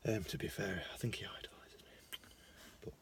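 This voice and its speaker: A young man with a Lancashire accent, rambling casually into his phone in a parked car, self-mocking, jumping between loud bursts and mumbled asides. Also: low voice, measured pace, in a whisper. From Lancashire, England. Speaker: vlogger.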